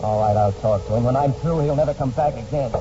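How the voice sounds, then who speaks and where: An actor playing a bitter, reclusive war veteran, curt and defensive, in 1940s radio drama style. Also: male voice, medium pitch, quick pace, noisy background, close to an everyday voice. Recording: muffled old radio broadcast.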